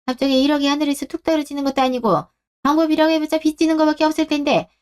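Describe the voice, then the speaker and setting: Woman acting a whiny, high-pitched young sister-in-law. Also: flat delivery, very fast, natural voice. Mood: whining. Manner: petulant, entitled, pleading. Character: selfish, whining young sister-in-law, bride-to-be. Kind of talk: Korean radio-drama story acting.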